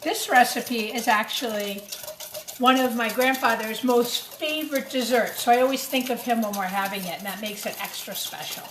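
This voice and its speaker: A woman with a slightly high voice leads a cooking demonstration for children. She explains each step in simple phrases and speaks to the young audience directly. The room is noticeably echoey, with clear noise and clatter of utensils behind her. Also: normal speed, fairly bright tone. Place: indoors in a kitchen. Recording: roomy echo, clattering kitchen noise.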